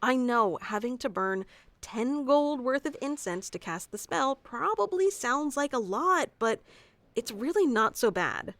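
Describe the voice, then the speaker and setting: A woman's voice: on the high side, expressive, unhurried, a bit bright, dry recording. A woman playing a haughty, easily flustered tsundere young wizard mentor in an ASMR roleplay, bossy and teasing, stammering when flustered. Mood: exasperated, scolding. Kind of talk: ASMR roleplay acting addressed to the listener.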